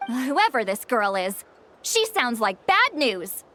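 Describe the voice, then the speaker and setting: A woman's voice: fairly high pitch, very animated, slow, music in the background. A woman playing a sassy, opinionated young know-it-all in a video game, blunt and dramatic in manner. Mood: skeptical.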